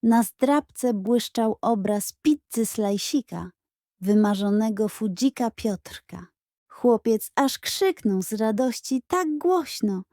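A woman reading a scripted bedtime story for children in an expressive, careful storytelling manner. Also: on the high side, dry recording, clean studio sound. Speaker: audiobook narrator.

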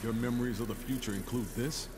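An actor playing a gruff, skeptical army major in a video game, blunt and distrustful. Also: male voice, medium pitch, fairly even intonation, quick pace, very noisy, lightly acted. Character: gruff, skeptical military major, battle veteran. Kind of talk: video game cutscene dialogue. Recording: loud game music behind.

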